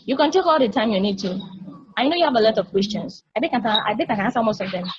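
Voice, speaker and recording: A woman as a patient, husky space probe. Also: fairly high pitch, animated, very fast. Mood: gentle, reassuring. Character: caring, patient, exasperated sentient space probe explaining to another. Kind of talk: amateur play table read. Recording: dull, lo-fi call audio.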